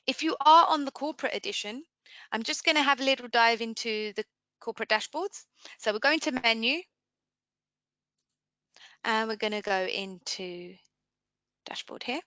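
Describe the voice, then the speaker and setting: A woman giving an informal software demo as a webinar trainer, explaining conversationally and putting questions to listeners. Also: on the high side, no room sound. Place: indoors, screen-share webinar voice-over.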